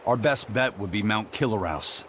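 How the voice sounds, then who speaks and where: A man's voice: lowish voice, expressive, moderate pace, fairly bright tone, slight background noise. A man playing a stoic, commanding seafarer in a fantasy video game, speaking tersely.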